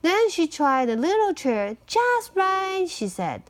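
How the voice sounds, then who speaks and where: A woman's voice: high-pitched, sing-song, measured pace. A native Mandarin-speaking woman reading a picture book aloud expressively, with chatty asides as a parent would. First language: Mandarin Chinese.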